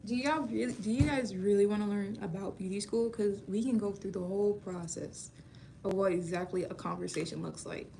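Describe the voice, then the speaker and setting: A woman in her thirties chatting casually with viewers on a livestream, warm and talkative with frequent laughs, explaining beauty school and her outlook. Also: mid-range voice, brisk, gravelly, a little hiss or hum. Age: 30s. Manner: chatty, laughs often, calls viewers baby.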